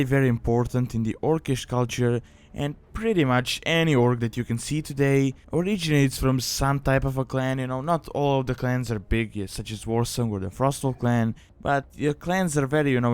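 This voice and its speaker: A man's voice: medium pitch, darkish tone, smooth. A man giving a casual, informal tutorial voice-over, explaining things loosely with frequent filler phrases. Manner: informal, explanatory, hedging with frequent "you know". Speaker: video creator presenting a guide. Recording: music behind the voice. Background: background music.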